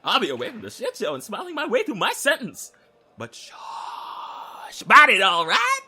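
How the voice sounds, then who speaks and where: Man acting a cocky, high-voiced young male prisoner. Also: huge pitch swings, normal speed, sharp tone, husky, exaggerated voice. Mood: smug. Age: young adult. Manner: smug, theatrical, sarcastic, taunting.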